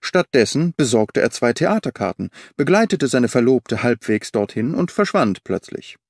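A man reading the part of a well-informed government official giving a measured briefing in an audiobook. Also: mid-range voice, very animated, gravelly, dry recording, clean studio sound. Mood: challenging.

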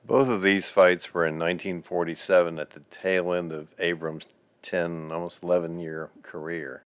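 Older guy doing voice-over, lowish voice, dry close sound. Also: little pitch movement, normal speed, clean tone, no room sound. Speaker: narrator. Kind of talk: voice-over narration.

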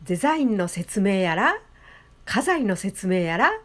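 A woman, presenting a vlog politely and in an animated, sing-song way. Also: medium pitch, a little slow. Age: 70s. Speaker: host, flower designer. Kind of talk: vlog narration to viewers.

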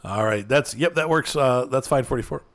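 A man's voice: mid-range voice, normal speed. A man chatting casually and rambling to viewers while playing a farming game, laughing often. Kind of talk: casual gameplay chat.

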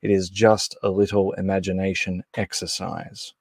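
A man with a fairly low voice hosts a casual livestream. He speaks off the cuff and a little hesitantly, on a close, dry mic with very little room sound. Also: little pitch movement, moderate pace, vocal fry, dry recording. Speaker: livestream host. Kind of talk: casual livestream introduction and announcements.

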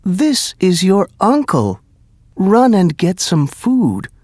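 A man voicing an excitable, poor mother in a storybook, exclaiming in a raised voice. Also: high voice, slow, no room sound, studio quality, pitched above the performer's own voice, exaggerated voice. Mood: excited.

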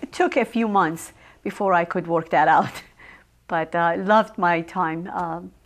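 A woman from Iran with a Persian accent, answering interview questions as a local election candidate, speaking in a measured way with frequent ums and uhs. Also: on the low side. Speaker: village trustee candidate.

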